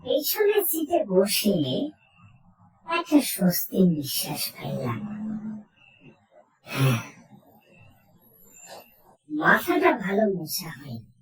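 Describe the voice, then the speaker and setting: Slow, animated woman telling a horror story. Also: mid-range voice. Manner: suspenseful, theatrical, with dramatic pauses. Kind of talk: dramatic first-person horror narration. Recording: roomy echo, distant from mic.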